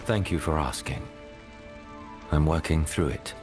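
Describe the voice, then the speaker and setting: A man's voice: a bass voice, measured pace. An actor playing a stoic, haunted male hero in a video game, terse and sombre, with music behind. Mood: sombre, grateful. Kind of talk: dramatic video game dialogue.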